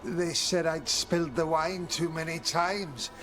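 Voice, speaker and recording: An actor playing a timid, shaken slave in a video game, speaking in a hesitant, halting way. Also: male voice, on the high side, fairly even intonation, natural voice. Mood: shaken, fearful. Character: timid, shaken male slave rescued from captors.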